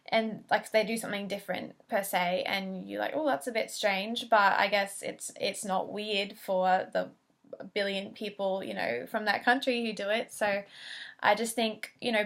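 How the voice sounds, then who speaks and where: A woman's voice: mid-range voice, a bit bright. A young woman in her twenties, a speech pathology student, introducing herself earnestly in a casual video with frequent fillers and restarts.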